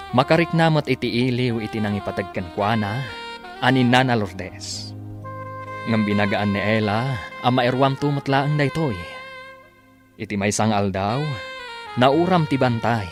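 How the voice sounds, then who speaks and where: A man with an Ilocano accent announcing and narrating a radio drama in a scripted, dramatic style. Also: mid-range voice.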